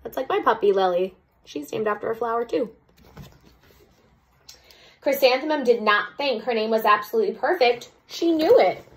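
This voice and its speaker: A woman's voice: fairly high pitch, lively intonation, moderate pace, long pauses. A woman, a second-grade teacher, reading a storybook aloud expressively and adding chatty explanatory asides for children.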